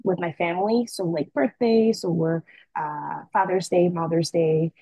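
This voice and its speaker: A young woman from the Dominican Republic, answering interview questions in a relaxed way, with many fillers and restarts. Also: lowish voice, measured pace, husky, no echo, low-quality recording. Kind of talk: casual conversational interview.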